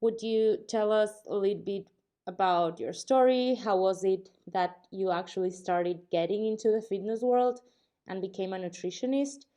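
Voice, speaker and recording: A woman hosting a podcast, reading an earnest introduction and then gently asking her guest questions. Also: medium pitch, normal speed. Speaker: podcast host.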